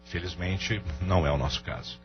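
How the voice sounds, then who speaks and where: Man playing a deep-voiced, uneasy family man. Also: moderate pace, natural voice. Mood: evasive, probing. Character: troubled, evasive family man and businessman. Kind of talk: audio drama dialogue. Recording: dull lo-fi audio with music underneath.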